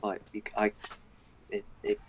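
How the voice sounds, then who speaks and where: A quiet, soft-spoken man with an Australian accent, joining a game stream over a voice call without a proper mic. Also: moderate pace. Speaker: guest on call. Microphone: computer call audio without a proper mic. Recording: dull lo-fi call audio.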